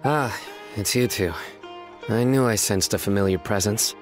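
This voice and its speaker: A man's voice: fairly low pitch, moderate pace, over music, close to an everyday voice. An actor playing a stern, composed lawman in a video game, speaking seriously and with control. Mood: serious, calm. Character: stern, composed young male lawman of few smiles.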